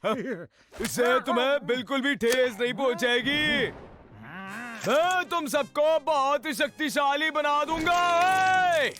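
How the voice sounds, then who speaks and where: A man's voice: very high voice, slow pace. A man voicing a bossy, hot-headed little cartoon man with an exaggerated, commanding manner in a Hindi dub. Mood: bossy, fired up. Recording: loud music behind the voice.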